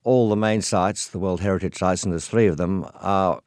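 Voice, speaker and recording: A man guesting on a radio travel show, a tour leader explaining sites in a measured way. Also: deep voice, darkish tone, no room sound, clean studio sound. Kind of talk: radio interview.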